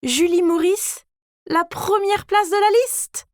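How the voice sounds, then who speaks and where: A woman voicing excited, admiring young schoolmates in a cartoon story, gushing and exclamatory. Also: extremely high pitch, slow pace, no room sound, studio quality, voice pushed up.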